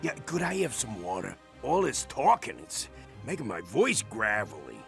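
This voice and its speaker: An actor playing a good-humored rock-monster superhero with a gravelly voice in a comedic game scene. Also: male voice, fairly low pitch, wildly swooping pitch, normal speed, faint music behind, heavily acted. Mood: polite, halting. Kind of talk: comedic game cutscene acting.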